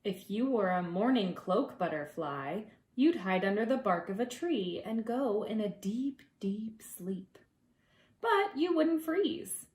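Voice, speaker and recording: A woman reading aloud to preschoolers as their teacher, expressive and gentle, drawing out words and adding conversational asides. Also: mid-range voice, echoey room. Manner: gentle, deliberate, drawing out words for young listeners.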